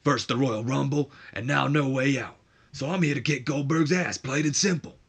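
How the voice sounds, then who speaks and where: A man acting out an angry, blunt tough-guy wrestler in a gruff, confrontational promo style. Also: mid-range voice, normal speed, pitched above the performer's own voice. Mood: angry, defiant.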